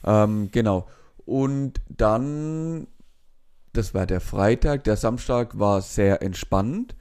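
Man, casual co-host, relaxed, light swearing, somewhat low voice. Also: takes it slowly, clear, resonant tone. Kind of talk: casual chat.

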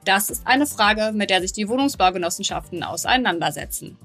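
A woman's voice: medium pitch, expressive, a little fast, fairly bright tone, soft background music. A woman hosting a podcast interview, reading friendly, scripted introductions and asking questions. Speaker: podcast host.

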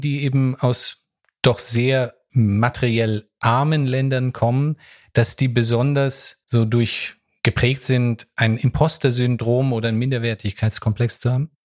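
This is a man with a medium-pitched voice. He is the interviewer in a conversation. He phrases his questions in a conversational way. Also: steady tone, takes it slowly.

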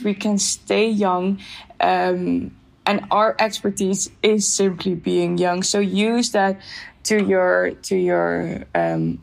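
A young woman speaking in a podcast interview as a guest expert, talking in a thoughtful, measured way with frequent fillers. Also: medium pitch, creaky. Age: young adult. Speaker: youth activism guest expert.

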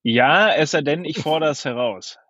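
Male co-host, husky, joking, self-deprecating, dull lo-fi remote line. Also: fairly high pitch, moderate pace, a bit bright, dry recording. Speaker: podcast co-host. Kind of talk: casual podcast chat.

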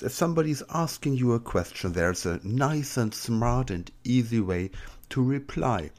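A native German man hosting a language podcast, explaining in English and then answering practice questions with playful asides. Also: fairly low pitch. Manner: lively, jokey asides in answers. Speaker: language teacher and podcast host.

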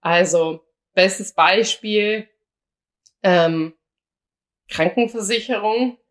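A young woman chats casually as a podcast co-host. She talks in a lively, anecdotal way, often slipping into quoted back-and-forth. Her voice sits at an ordinary middle pitch for a woman. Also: extremely slow. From Austria. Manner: chatty, anecdotal, acts out quoted dialogue.

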